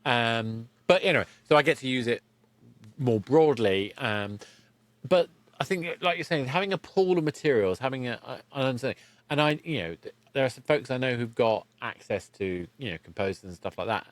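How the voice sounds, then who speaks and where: A man's voice: mid-range voice, animated, fairly quick, no echo. A man hosting a conversational podcast interview, thinking aloud and often rephrasing mid-sentence.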